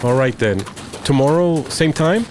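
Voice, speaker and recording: A man's voice: on the high side, animated, a little slow, background noise. A man playing a wry, weary local leader in a radio drama, talking in a casual, folksy way. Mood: wry, easygoing. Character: wry weary male mayor giving orders. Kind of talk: post-apocalyptic radio drama acting.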